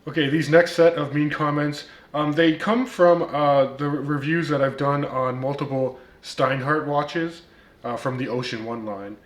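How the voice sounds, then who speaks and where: A man's voice: on the high side, fairly even intonation, a little slow, echoey room. A man with a slight Turkish accent, hosting a casual vlog and answering critics with wry comebacks and frequent ums and uhs. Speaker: watch reviewer, channel host.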